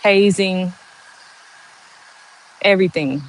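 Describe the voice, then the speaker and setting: A woman in her forties with a monotone voice, chatting slowly and candidly on a livestream and answering viewers' comments. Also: fairly low pitch, poor audio. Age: 40s. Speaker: livestream host. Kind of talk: casual live chat answering viewer comments.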